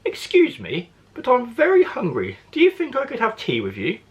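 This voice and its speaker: A man's voice: very high voice, huge pitch swings, normal speed, dull audio, voice pushed up, exaggerated voice. A man voicing a big, hungry and very polite tiger in a children's storybook. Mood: eagerly polite. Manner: courteous.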